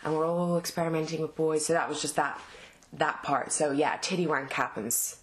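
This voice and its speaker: A woman from Ireland with a Dublin Irish accent, an actress answering interview questions in a halting, casual way with many fillers and restarts. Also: low-pitched, fairly even intonation, slight background noise.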